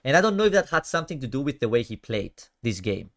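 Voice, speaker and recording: A man's voice: mid-range voice, big pitch swings, quick pace. A young man from Cyprus with a Greek Cypriot accent, casually commenting on his own card game matches and chatting with viewers on a stream. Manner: chatty, slangy, talks to chat, often drops to a mutter. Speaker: streamer reviewing card games.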